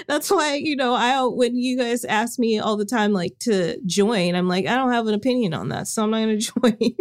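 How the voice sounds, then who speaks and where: A woman co-hosting a podcast in casual chat, speaking in a monotone, flat way. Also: on the high side, normal speed. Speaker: podcast co-host.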